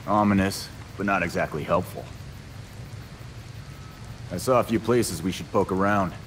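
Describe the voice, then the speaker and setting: An actor playing a tough, sardonic male vigilante in a game, with a deep, gruff voice and dry delivery. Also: moderate pace, a little hiss or hum, lightly acted, poor audio. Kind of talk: cinematic game dialogue. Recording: clear rain and outdoor ambience.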